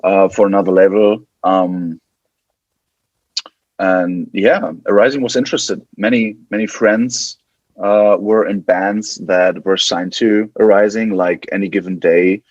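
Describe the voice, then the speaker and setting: A man's voice: deep voice, fairly even intonation, unhurried, no room sound, dull audio. A man from West Germany with a German accent, chatting casually as an interview guest and often repeating and restarting his words. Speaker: podcast guest, band guitarist.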